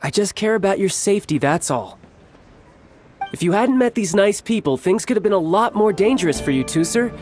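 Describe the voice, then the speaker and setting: A man voicing a cocky, playful young warrior and doting big brother in a video game, smooth-talking and theatrical. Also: sits high, animated, a little fast, a little mellow. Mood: earnest concern. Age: young adult. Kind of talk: video game character acting. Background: music.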